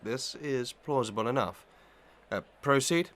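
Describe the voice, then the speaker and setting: An actor playing a plain-spoken, drily comic inquirer in authority in a Victorian audio melodrama, matter-of-fact and questioning. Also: male voice, mid-range voice, animated, a little slow, fairly bright tone, a little hiss or hum. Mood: dry, matter-of-fact.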